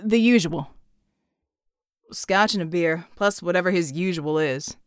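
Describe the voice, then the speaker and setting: A woman's voice: fairly low pitch, measured pace, smooth, no room sound, clean studio sound, voice pushed down, close to an everyday voice. A woman voicing a brash, playful male rogue, exasperated and boozy, with loose slangy delivery in an audiobook. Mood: wry, muttering.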